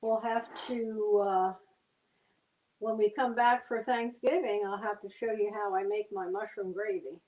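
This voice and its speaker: A woman's voice: medium pitch, fairly even intonation, unhurried, roomy sound, lo-fi sound. An older woman from New Jersey, a guest cook explaining recipe steps plainly in a homey, chatty way. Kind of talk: cooking demonstration chat.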